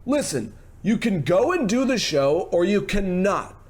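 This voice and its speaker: A man's voice: high voice, sing-song, a little mellow. A man playing a slick, pushy, glib producer who sweet-talks like a salesman in an old-time radio comedy. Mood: smarmy, condescending. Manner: fast-patter salesman, gushing pet names.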